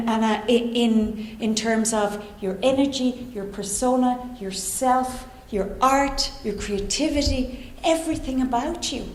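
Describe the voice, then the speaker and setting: A woman's voice: high-pitched, darkish tone. A middle-aged woman with an Irish accent telling her personal story to a live audience, hesitant and reflective, with dramatic repetition. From Ireland. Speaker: guest speaker, orchestra conductor and composer. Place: indoor conference room before a live audience. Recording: echoey room with faint background noise.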